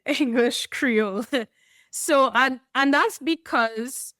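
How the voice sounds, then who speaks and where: A woman from Trinidad and Tobago with a Trinidadian accent, explaining things in a measured way as a podcast guest. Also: sits high, fairly bright tone, no echo, clean studio sound. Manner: explanatory, measured, with light laughs. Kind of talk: podcast interview.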